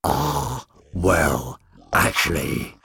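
Huge shaggy storybook monster: low, gruff, slow-talking, drily sarcastic. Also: male voice, very animated, sharp tone, exaggerated voice. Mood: gruff, casual.

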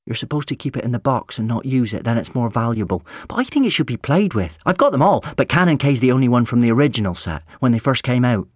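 A man's voice: mid-range voice, very animated, quick pace, hardly any pauses, no echo, studio quality, voice pushed up. A man voicing an eager, chatty young boy who is earnest about his toys, in an audiobook story. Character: eager, bright young boy, a robot-toy enthusiast.

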